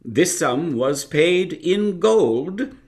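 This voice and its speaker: An actor in a live radio drama playing a formal, halting male banker giving testimony. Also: on the high side, expressive, darkish tone, full character voice. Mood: formal, hesitant. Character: eldest son banker, formal, hesitant male witness.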